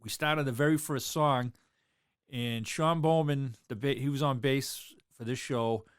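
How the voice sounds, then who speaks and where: A man's voice: mid-range voice, steady tone, unhurried, clean tone, no room sound, clean studio sound. A man, a drummer talking loosely in an interview, rambling with lots of fillers. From South Boston, USA. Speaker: drummer and guest. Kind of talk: casual interview chat.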